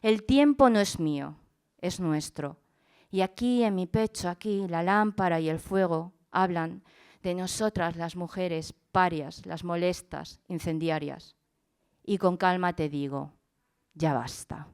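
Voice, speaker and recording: A woman's voice: on the low side, unhurried, a little mellow, smooth. A woman speaking earnestly at a panel event, reading a poem and then talking, with many fillers and restarts. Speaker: panel speaker and project founder.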